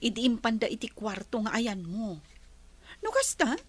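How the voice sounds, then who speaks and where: A woman's voice: fairly high pitch, lively intonation, slightly rough. A woman playing a teasing, meddling cousin and confidante in an audio drama. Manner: teasing, drawn-out delivery.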